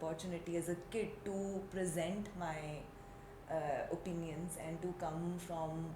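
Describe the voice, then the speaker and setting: A woman from Delhi with an Indian English accent, co-hosting a casual podcast chat and talking slowly and thoughtfully, with many restarts. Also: on the low side. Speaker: podcast co-host. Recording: echoey room with noise.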